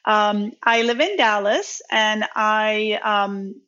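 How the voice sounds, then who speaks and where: A woman's voice: medium pitch, slow, sharp tone. Woman, 40s, from Turkey, podcast guest, chatty, frequent ums, muffled lo-fi recording. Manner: chatty, many ums and restarts. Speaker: podcast guest, training company founder. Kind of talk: casual interview answers. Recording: dull lo-fi sound.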